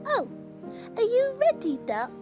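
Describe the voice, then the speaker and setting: A childlike girl rag doll in a children's puppet show. The voice is squeaky and extremely high, swooping widely and excitably, with loud music playing along. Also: measured pace, full character voice. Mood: playful, instructing. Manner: exclamatory, sing-song, giggly.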